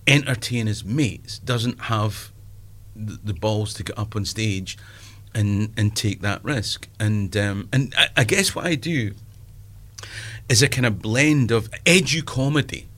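A man's voice: on the low side, measured pace, fairly bright tone. A Scottish man in his fifties, a stand-up comedian chatting in an interview, self-deprecating and full of asides. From Scotland. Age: 50s. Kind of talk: casual interview chat.

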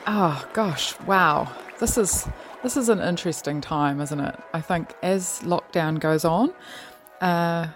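A New woman with a low voice talks casually about her day, rambling and playful. She laughs easily as she goes along. Soft music sits faintly under her voice. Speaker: lockdown diarist. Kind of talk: casual chatty monologue.

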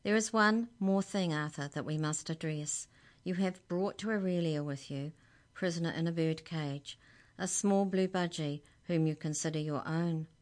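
A woman voicing a talking tooth who is a stern judge, grave, formal and measured. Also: lightly acted. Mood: grave, reproving. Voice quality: deep.

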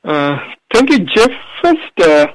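A man's voice: sits high, sing-song, slow pace, no room sound. A man in his thirties from Sri Lanka with a Sri Lankan accent, answering interview questions hesitantly with many fillers and restarts. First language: Sinhala. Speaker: radio show host, guest. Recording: dry tinny phone-like line.

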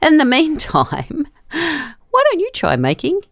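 A woman's voice: high voice, wildly swooping pitch, slow pace, vocal fry, no echo, studio quality. Playful sing-song woman host. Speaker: children's radio host. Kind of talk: casual presenting to children.

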